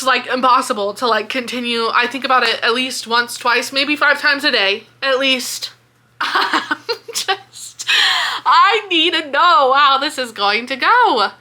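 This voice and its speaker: An American woman chatting casually as a book vlogger, excitable, dramatic and freely swearing. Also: high voice. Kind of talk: casual reading reaction chat.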